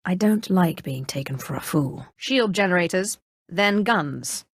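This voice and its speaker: Haughty Sith lady, lowish, swooping voice. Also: lively intonation, no room sound. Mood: defiant, displeased. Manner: imperious, cold, menacing, deliberate diction. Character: haughty, ruthless female Sith sorceress lord of the Empire. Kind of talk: dramatic video game voice acting.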